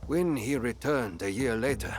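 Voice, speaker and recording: An actor playing a wry, battle-worn ronin and former general in a video game, with a deliberate, knowing, formal manner. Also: male voice, mid-range voice, lively intonation, slight background noise, natural voice. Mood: wry, reminiscing. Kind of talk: dramatic video game acting.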